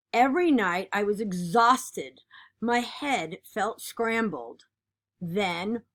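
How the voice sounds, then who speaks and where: A woman voicing an earnest, dutiful egg from a children's picture book, with expressive and deliberate storytelling. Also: medium pitch. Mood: weary, fretful.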